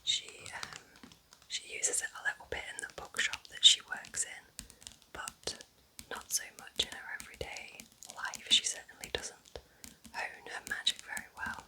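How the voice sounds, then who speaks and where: Whispering woman, gentle ASMR. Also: measured pace, poor audio. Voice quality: breathy unvoiced whisper. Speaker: ASMR creator presenting book recommendations. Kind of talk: whispered ASMR, casual book chat.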